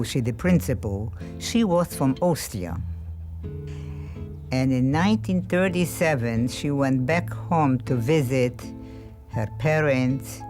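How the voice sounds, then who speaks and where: A woman in her eighties from Poland with a Polish accent, giving slow, measured, emphatic interview testimony. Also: very deep voice. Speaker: Holocaust survivor interviewee. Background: soft guitar music.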